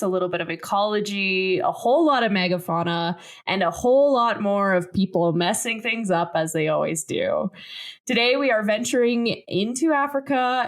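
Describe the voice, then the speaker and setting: Woman, podcast host, casual chat, joking and plain-spoken, medium pitch. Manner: joking, lively, explains science plainly.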